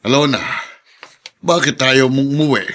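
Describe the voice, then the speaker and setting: A man's voice: medium pitch, normal speed, a bit bright, close to an everyday voice. A man acting a stern, reproachful old grandfather, firm and scolding, in a radio drama.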